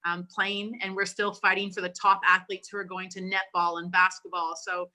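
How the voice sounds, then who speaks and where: Bright-voiced chatty Canadian woman coach. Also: mid-range voice, flat delivery, normal speed. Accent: mix of Canadian and Australian. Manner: chatty and upbeat, laughs often. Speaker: volleyball coach, guest. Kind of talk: casual interview chat.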